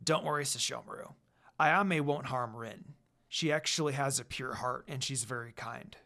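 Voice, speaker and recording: A man's voice: mid-range voice, normal speed, gravelly, pushed and bright, pitched below the performer's own voice. A man voicing an earnest half-demon younger brother in a subdued, sincere manner. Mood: reassuring.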